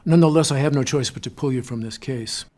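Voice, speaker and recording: An actor playing a stern, measured male superior officer in an audio drama, firm but reluctant. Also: medium pitch, warm tone, natural voice. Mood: firm, regretful. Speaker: boss of an agent. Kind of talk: audio fiction drama acting.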